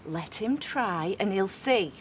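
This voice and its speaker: A woman's voice: mid-range voice, lively intonation, slow. An actress playing a defensive, indignant woman, curt and defiant. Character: defensive, indignant working mother. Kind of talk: television soap acting. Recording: clear background noise.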